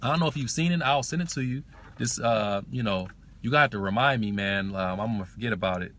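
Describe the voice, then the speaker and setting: A man hosting a language-learning livestream, chatting casually and answering comments, circling back to restate his points in a halting way. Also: lowish voice, fairly bright tone, slight background noise.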